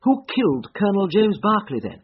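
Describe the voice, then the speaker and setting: A man's voice: high-pitched, big pitch swings, brisk, breathy edge, highs cut off, pitched above the performer's own voice, full character voice. A man voicing an affable, probing detective in an audiobook, precise, courteous and insistent in his questions. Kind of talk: audiobook character voice.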